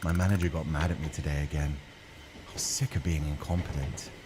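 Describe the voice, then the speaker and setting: Man reading as a deep, exhausted office worker. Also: fairly even intonation, a little fast, noisy background. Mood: weary, defeated. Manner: weary, subdued inner monologue. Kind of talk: game narration read aloud.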